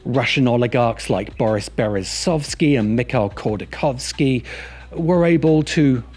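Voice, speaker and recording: A man reading scripted video essay narration in a measured, emphatic and wry manner. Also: mid-range voice, a little mellow. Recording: music bed under the voice. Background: background music.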